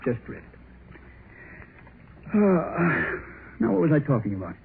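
A tinny, faintly hissing 1930s radio recording of a young working husband with a highish, very lively voice. He is insistent and emphatic, repeating himself in period drama fashion. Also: slow pace, gravelly, exaggerated voice. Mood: carefree, earnest. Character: young working husband, insistent, carefree yet money-worried. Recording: noisy, narrow-band 1930s radio.

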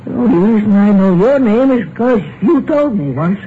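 A man's voice: high-pitched, expressive, normal speed, a little hiss or hum. An actor playing an anxious, loyal male servant in a 1950s radio drama. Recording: tinny old radio broadcast.

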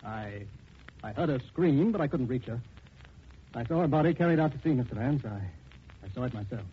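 An actor playing an anxious, grieving middle-aged widower, halting and earnest, in 1940s radio drama style. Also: male voice, mid-range voice, animated, slightly rough. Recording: noisy, dull 1940s radio recording.

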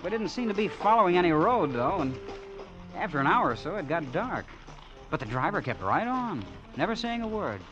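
An actor playing a naive, eager small-town schoolboy in a 1940s radio drama, chatty and hesitant, on a muffled old broadcast. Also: male voice, high-pitched, big pitch swings, moderate pace, over music. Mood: reminiscing.

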